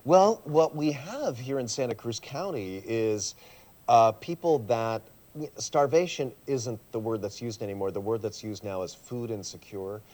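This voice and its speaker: A middle-aged man talks as a pastor in a relaxed coffee-shop interview. His voice sits at an ordinary middle pitch, and he laughs easily. When he explains something he turns earnest and steady. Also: measured pace. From USA. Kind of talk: casual interview chat.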